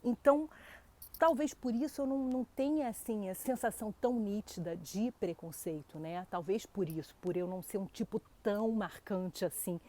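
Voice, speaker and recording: A woman's voice: mid-range voice, moderate pace, mellow. A woman from Brazil with a Brazilian Portuguese accent, hosting her own channel and answering a viewer's question in a chatty, direct way. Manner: chatty, talks straight to viewers, many fillers. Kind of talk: casual talk to camera.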